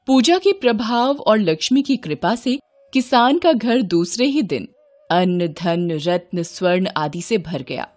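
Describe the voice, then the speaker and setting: Female narrator for devotional tales: expressive, animated intonation, mid-pitched, soft musical bed. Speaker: storyteller, narrator.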